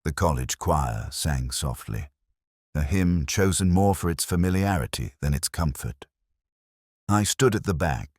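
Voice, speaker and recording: A man narrating a first-person detective story in a solemn, measured, literary manner with a husky voice. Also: rumbling low, no room sound, studio quality.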